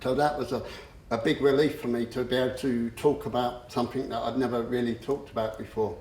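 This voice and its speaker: A man with a stammer giving a personal talk, slow and halting with frequent pauses and fillers. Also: medium pitch, level tone, darkish tone. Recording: echoey room.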